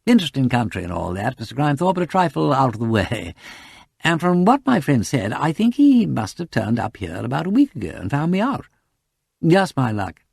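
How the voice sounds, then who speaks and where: Man playing a lively, affable upper-class English gent. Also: medium pitch, a little fast, gravelly, breathy edge, dry recording, clean studio sound, pitched above the performer's own voice, exaggerated voice. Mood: chatty, casual. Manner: polite, breezy, rambling, nervously chatty.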